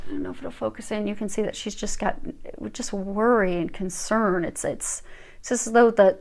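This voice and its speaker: A woman giving a casual tarot reading, gentle and reassuring, with frequent fillers and restarts. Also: mid-range voice. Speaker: tarot reader.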